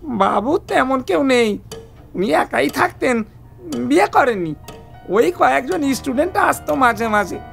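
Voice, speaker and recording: High creaky timid servant played by a man. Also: very animated, unhurried, fairly bright tone, faint music behind, heavily acted. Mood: nervous, obliging. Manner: stammering, pleading, deferential. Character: timid, deferential male house servant, anxious and simple. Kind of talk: Bengali cartoon detective dialogue.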